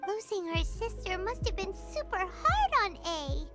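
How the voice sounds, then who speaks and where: An actress voicing a tiny, excitable, naive fairy girl companion in a video game, with a squeaky childlike voice and exclamatory way of talking. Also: unhurried, full character voice. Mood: curious, earnest. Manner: exclamatory, refers to herself by name. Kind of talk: scripted video game character dialogue. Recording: prominent music behind the voice.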